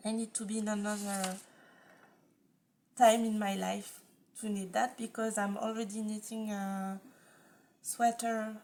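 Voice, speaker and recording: Relaxed knitting podcaster, a woman, non-native English, higher voice, hesitant delivery. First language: not English. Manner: hesitant, lots of ums, restarts and soft laughs. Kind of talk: casual chat. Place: living room at home.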